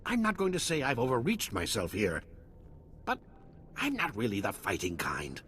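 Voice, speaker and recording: An actor playing a flamboyant, self-amused tech salesman in a video game, pitching theatrically with joking asides and dramatic pauses. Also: wildly swooping pitch, bright, forceful tone, a little hiss or hum. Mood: sheepish. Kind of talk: video game character acting.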